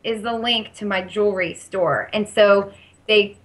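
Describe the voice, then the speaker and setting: A woman with a raspy voice, chatting eagerly as a podcast guest and telling her story with quoted asides. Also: medium pitch, fairly even intonation, measured pace. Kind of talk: casual podcast interview.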